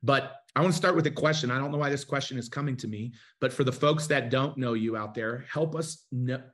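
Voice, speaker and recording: A man's voice: mid-range voice, fairly quick, a bit bright. A man in his forties hosts a live online interview show from his home studio. He talks with eager enthusiasm and gestures freely, his voice sitting in an everyday middle range as it comes over a video call. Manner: enthusiastic, gestures while talking. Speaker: host. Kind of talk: casual interview.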